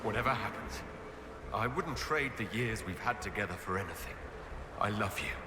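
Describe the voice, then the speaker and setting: An actor as an embattled, expressive male leader. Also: on the low side, moderate pace, very noisy, natural voice. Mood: tender. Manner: earnest, forceful, swears freely. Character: embattled male human leader, weary, defiant, pained. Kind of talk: dramatic video game voice acting. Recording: music behind the voice.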